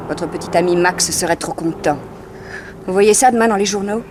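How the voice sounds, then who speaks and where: An actress playing a detective's brisk, assertive assistant, self-assured and pointed, in a 1960s French radio crime drama. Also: female voice, fairly low pitch, darkish tone, close to an everyday voice. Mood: coolly mocking. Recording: noisy old radio drama recording.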